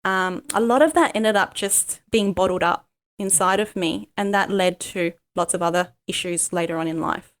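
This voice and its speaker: Halting, reflective woman. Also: on the low side. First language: Cantonese. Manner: halting, many pauses and ums, reflective. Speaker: guest, life coach. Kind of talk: candid personal interview.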